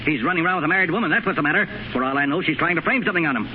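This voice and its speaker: A man's voice: a little high, animated, very fast, close to an everyday voice. An actor playing a domineering, suspicious father in a 1930s radio drama, blunt and demanding as he grills. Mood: accusing. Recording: muffled, noisy 1930s radio broadcast.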